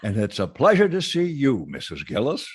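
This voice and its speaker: An actor playing a genteel, courteous boss in an old-time radio comedy, speaking politely and a little hesitantly. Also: male voice, mid-range voice, huge pitch swings, normal speed, breathy edge. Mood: hesitant, pleased.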